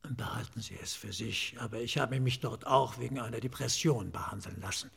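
An actor playing a talkative forensic pathologist in a radio drama, dryly clinical and slightly eccentric, explaining things in measured, savoring phrases. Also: male voice, medium pitch, a bit bright, full character voice. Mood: confiding. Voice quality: raspy, creaky. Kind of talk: German radio drama acting.

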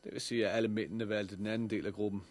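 A man's voice: on the low side, steady tone, natural voice. A man acting in a 1960s radio drama as a level-headed, persistent survivor, terse and matter-of-fact. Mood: insistent.

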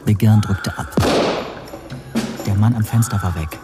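An actor as the first-person narrator of a crime radio play, telling it in a measured, literary way. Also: male voice, on the low side, lightly acted. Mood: suspenseful narration. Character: man recounting a tense night police raid. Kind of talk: scripted radio drama narration. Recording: music behind the voice.

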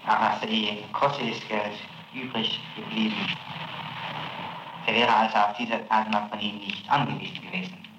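A man questioning a suspect as prosecutor. His talk is stiff and formal, full of hesitations and "isn't it?" tags. The old tape is muffled and echoey, with a loud hiss underneath. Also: lowish voice, level tone. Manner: formal, halting, many fillers and tag questions. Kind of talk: archival interrogation tape.

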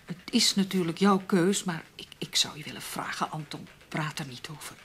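A woman's voice: on the low side, normal speed, a little hiss or hum, natural voice. A woman with a Netherlands Dutch accent playing an anxious, protective newspaper colleague in a radio play, hesitant and pleading. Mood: worried, pleading. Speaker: radio drama actor.

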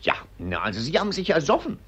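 An actor playing a pompous, callous middle-aged army colonel in a mid-century radio drama, barking and disdainful. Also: male voice, a little high, huge pitch swings, fast, a bit bright, slightly rough, full character voice. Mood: contemptuous. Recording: dull, band-limited old radio recording.

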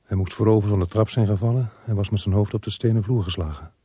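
An actor playing a brisk, matter-of-fact police inspector in a radio drama, with a husky voice. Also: male voice, lowish voice, warm tone, no echo. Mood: grave narration. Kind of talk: radio drama dialogue and narration.

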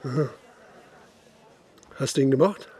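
An actor playing a sober police investigator in a German radio drama, questioning in a measured, insistent way. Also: male voice, medium pitch, mellow, husky. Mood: probing. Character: probing male police investigator, sober and persistent.

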